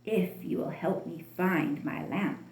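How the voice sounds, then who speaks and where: A woman voicing a scheming, wicked male sorcerer in a storybook tale, speaking slowly and slyly. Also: lowish voice, a little mellow, echoey room, pitched below the performer's own voice, rough recording. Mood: sly, enticing. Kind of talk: storybook character voice.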